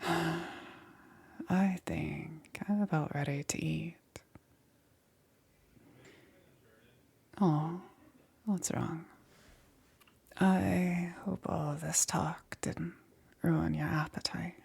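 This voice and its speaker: Woman playing a slow, husky whispering witch. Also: low voice, fairly even intonation, full character voice. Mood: gentle, coaxing. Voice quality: breathy, husky, often whispering. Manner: slow, hesitant, softly cooing. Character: soft-spoken witch, coaxing, quietly menacing necromancer. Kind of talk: ASMR roleplay.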